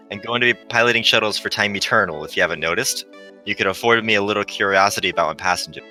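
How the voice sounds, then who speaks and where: Man voicing a bright, courteous AI shuttle navigator. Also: fairly low pitch, brisk, soft background music. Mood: curious, chatty. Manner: courteous, formal, soothingly understated. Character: polite, chatty, unflappable male AI shuttle navigator. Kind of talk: visual novel character reading.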